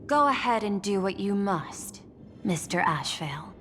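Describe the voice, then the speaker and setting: A woman voicing a composed, authoritative corporate chief executive in a game dub, formal and deliberate. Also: mid-range voice, lively intonation, fairly bright tone, slight background noise. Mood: commanding. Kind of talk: dramatic game dubbing. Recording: long reverb, music behind.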